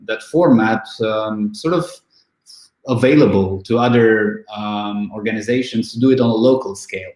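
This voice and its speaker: Man in his thirties, event organizer, halting speech full of ums, reverberant room sound. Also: on the low side, a little mellow. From Romania. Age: 30s. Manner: halting, many fillers and restarts. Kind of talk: casual online interview. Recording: echoey room.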